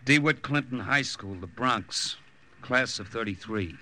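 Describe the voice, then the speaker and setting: An actor playing a brash, wry young American secret agent in an old radio drama, with punchy hard-boiled delivery. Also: male voice, medium pitch, unhurried, bright, forceful tone, slight background noise. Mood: defiant. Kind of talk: 1950s radio drama narration and dialogue.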